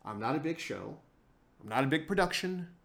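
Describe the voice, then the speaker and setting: A man hosting his own podcast, speaking to his listeners in a passionate solo monologue. He keeps repeating and stressing his phrases, with big swings in pitch and loudness, and he records on a single mic plugged into a laptop. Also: moderate pace, gravelly. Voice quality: self-described monotone voice. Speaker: podcast host.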